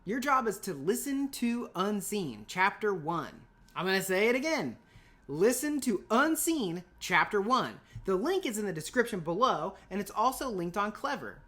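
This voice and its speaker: A woman teacher with a mid-pitched voice delivers an informal video lesson. Her intonation is very lively, swinging up and down. She talks in a chatty, playful way and drops in emphatic asides. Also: normal speed. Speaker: middle school language and literature teacher.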